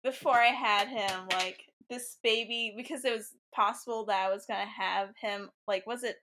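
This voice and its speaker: A young woman co-hosting a casual podcast, with a high, girlish voice, telling stories with lots of laughter. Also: normal speed, noisy background. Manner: giggly, animated storyteller. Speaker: podcast co-host. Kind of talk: casual chat.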